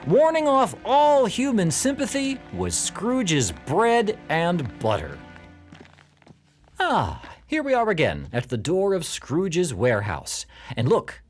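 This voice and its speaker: An actor playing a genial, conspiratorial storyteller, narrating an audio drama dramatically and intimately to the listener. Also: male voice, high voice, full character voice.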